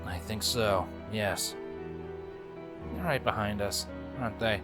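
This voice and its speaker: Stallion captain, read by a man: tired, commanding, terse and deadpan, for a fantasy story. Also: lowish voice, slow, over music. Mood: resigned. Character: serious weary stallion guard captain, commanding leader.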